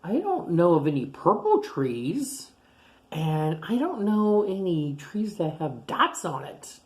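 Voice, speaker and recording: A woman reading a picture book aloud to children, animated, gasping and exclaiming at the pictures. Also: fairly low pitch, slow. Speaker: storyteller.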